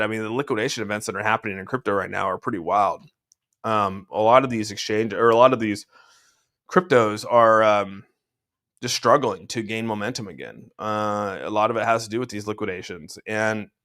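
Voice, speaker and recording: A man's voice: lowish voice, fairly bright tone. A man hosting a crypto livestream, talking over charts and answering chat in a rambling, laid-back way, slightly congested. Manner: laid-back, rambling, says "dude" often. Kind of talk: casual chart talk answering live chat. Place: room at home.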